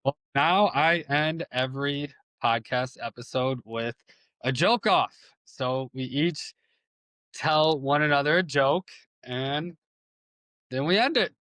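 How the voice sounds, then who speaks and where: A man hosting a podcast, relaxed and affirming in casual conversation. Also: on the high side, slow pace, dry recording. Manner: relaxed, affirming, ends with corny jokes. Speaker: podcast host.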